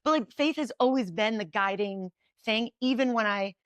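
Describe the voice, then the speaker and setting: A woman in her thirties from Connecticut with a New England accent and a raspy voice, talking quickly as a guest in a casual interview. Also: fairly high pitch, dry recording. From Connecticut, USA. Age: 30s. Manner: fast-talking, says "like" often. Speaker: podcast guest.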